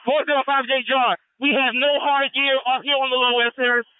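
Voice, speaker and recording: A man calling in as a field officer over a thin, tinny police radio. His voice is extremely high and strained upward but clear, and he gives urgent, commanding calls, often repeating them. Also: flat delivery, a little fast, no echo. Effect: police radio transmission.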